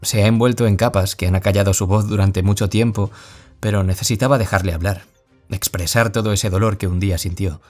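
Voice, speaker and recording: A low-voiced young man from radio drama played by a male actor, a gentle, wounded author giving an interview. He speaks in a measured, confessional way, with music underneath. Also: lightly acted. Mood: earnest, vulnerable. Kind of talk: audio drama acting as a radio interview guest.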